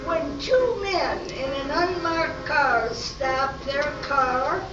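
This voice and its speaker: Radio serial narrator played by a woman, high and very deliberate, over clear music, echoey room. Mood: measured. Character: female radio serial narrator, measured storyteller. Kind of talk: scripted radio play narration. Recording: echoey room, distant and muffled.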